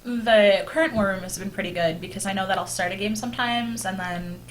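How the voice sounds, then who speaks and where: A woman's voice: mid-range voice, fairly quick, a crowd in the background, poor audio. Blunt, sweary young woman podcaster. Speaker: podcast host. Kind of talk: casual group chat about tabletop gaming. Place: small indoor room. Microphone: podcast microphone on boom arm.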